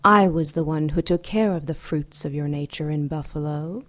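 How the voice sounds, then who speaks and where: A woman playing an ancient, seductive and coolly menacing demon goddess in an audio drama, silky, deliberate and mocking. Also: low-pitched, natural voice. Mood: scornful, commanding.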